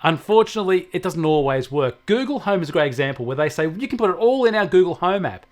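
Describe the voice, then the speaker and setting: Tech co-host, male, slightly high voice, explanatory and anecdotal in casual discussion. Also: lively intonation, quick pace, a little mellow. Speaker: technology expert co-host.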